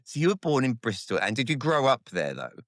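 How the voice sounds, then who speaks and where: Guy in his 40s, podcast host, joking around, bouncy animated voice. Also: medium pitch, a little fast, no echo, studio quality. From England. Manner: friendly, jokey, self-deprecating. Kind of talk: casual chat.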